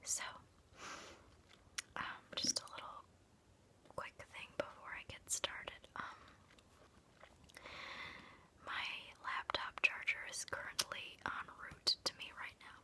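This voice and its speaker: A woman whispering softly and breathily in a gentle, casual, reassuring way, as an ASMR host chatting and guiding a meditation into her phone. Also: slow, pauses often. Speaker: ASMR video host leading a guided meditation. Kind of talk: whispered ASMR, soft informal chat and guided meditation.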